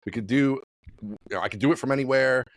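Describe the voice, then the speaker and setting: A man's voice: mid-range voice, fast, dry recording, low-quality recording. A man chatting casually as a podcast host.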